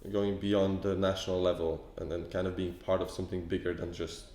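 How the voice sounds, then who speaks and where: A man's voice: deep voice, flat delivery, brisk, a little mellow, echoey room. A man from Poland, raised in England, answering interview questions hesitantly with many repeated words and fillers. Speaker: event participant. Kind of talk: casual on-camera interview.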